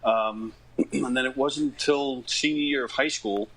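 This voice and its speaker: A man of about fifty from Long Island, New York, telling stories casually as an interview guest, in a halting, informal way that often doubles back. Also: medium pitch, fairly bright tone, poor audio. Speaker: interview guest, stage actor. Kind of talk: casual conversational storytelling.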